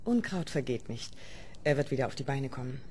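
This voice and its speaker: A woman's voice: rumbling low, animated, brisk, darkish tone, a little hiss or hum. An actress playing an older female doctor who speaks bluntly and dryly. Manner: blunt, dry.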